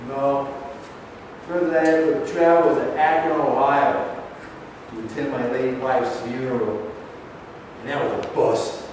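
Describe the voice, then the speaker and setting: A man's voice: medium pitch, fairly even intonation, unhurried, long pauses, darkish tone, recorded from a distance, natural voice. A man playing a frail, friendly elderly retiree in a staged play reading, recorded from afar in an echoey, noisy hall. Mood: friendly, chatty.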